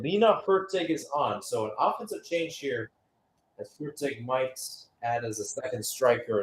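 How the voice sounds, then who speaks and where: A man giving live radio-style play-by-play commentary on a football match, with exclamatory phrasing and rhetorical questions. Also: medium pitch.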